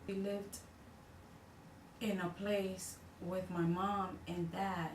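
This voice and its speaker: A woman from the Dominican Republic with a Dominican accent, recounting her family history in a halting way, often repeating herself as she searches for words. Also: medium pitch, little pitch movement, recorded from a distance. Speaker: interviewee. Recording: echoey room, voice off-mic with faint noise.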